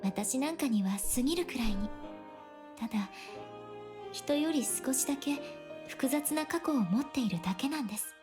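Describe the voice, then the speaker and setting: A high-voiced, coy young woman of a visual novel, with swooping, theatrical intonation. She is teasing but has a tender side, and game music plays clearly behind her. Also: expressive, quick pace. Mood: tender, wistful. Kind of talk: Japanese visual novel voice acting. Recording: game audio under loud music. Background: video game music.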